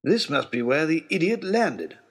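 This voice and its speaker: A blustering upper-class English lord, acted out by a man telling a story, haughty and forever exasperated. His high voice swoops with irritation and has a husky edge. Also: big pitch swings, normal speed, full character voice. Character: haughty, irascible English lord leading a polar expedition.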